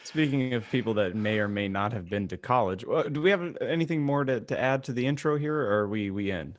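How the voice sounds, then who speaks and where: A man's voice: mid-range voice, animated, fairly quick. A man hosting a podcast in a casual, irreverent, joking style of group banter. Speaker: podcast host.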